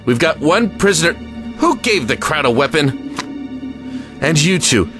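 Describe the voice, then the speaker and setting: An actor playing a stern, authoritative army lieutenant in a war audio drama, clipped and commanding. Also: male voice, fairly high pitch, big pitch swings, unhurried, music in the background. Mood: brisk, stern.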